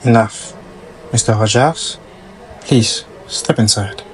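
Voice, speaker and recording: A man playing a wise, patient scientist in an audio drama, a grave, fatherly mentor with a deliberate manner. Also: medium pitch, slight background noise, lightly acted, rough recording. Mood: solemn. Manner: solemn, deliberate.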